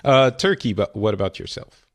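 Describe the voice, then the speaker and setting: A man's voice: fairly low pitch, big pitch swings, moderate pace. A man in his forties from France, hosting a casual podcast discussion in a chatty, self-interrupting way. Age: 40s. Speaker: podcast host.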